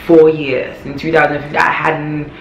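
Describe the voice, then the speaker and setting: A young woman with a hoarse, worn voice, chatting candidly to her vlog viewers and repeating phrases for emphasis. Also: deep voice, level tone, moderate pace, a little hiss or hum. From Ghana. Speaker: vlogger. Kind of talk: casual talking-to-camera vlog. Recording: echoey room.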